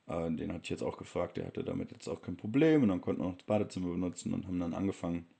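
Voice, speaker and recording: A man's voice: low-pitched, very fast, gravelly, mellow, husky. A young man telling a travel story in a casual, rambling way, full of fillers and restarts. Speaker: vlogger.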